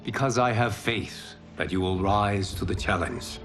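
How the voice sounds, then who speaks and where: An actor playing a solemn, commanding fire god in a video game, speaking in a formal, measured, grave manner. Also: male voice, on the low side, music in the background, close to an everyday voice. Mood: calm, assured. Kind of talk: cinematic video game dialogue.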